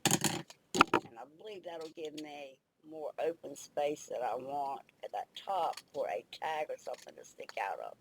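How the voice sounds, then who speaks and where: Older woman host, slightly under the weather, raspy and gravelly, with a low voice and a chatty, unhurried tutorial manner. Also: lively intonation, very noisy. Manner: chatty, thinks aloud while working. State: slight head cold, raspy. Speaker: craft video host. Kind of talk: casual crafting tutorial.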